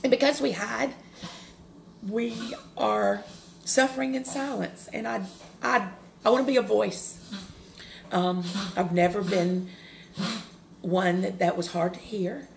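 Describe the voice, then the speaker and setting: Woman, forties, loud carrying voice, earnest devotional speaker, slight vocal fry. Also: medium pitch, a little hiss or hum. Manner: earnest and open, with pauses and restarts. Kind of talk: personal devotional talk.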